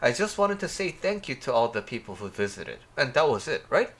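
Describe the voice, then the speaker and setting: A man hosting a casual livestream, his voice a little off from a sore throat, rambling with many pauses and talking to viewers by name. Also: medium pitch, very animated, a bit bright, breathy edge. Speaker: life coach hosting a livestream.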